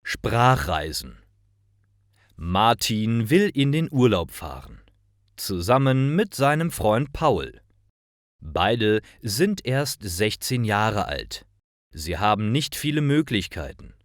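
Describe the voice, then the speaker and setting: A man reading short stories for language learners in a careful, measured, clearly enunciated scripted narration. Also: on the low side, big pitch swings, dry recording, clean studio sound.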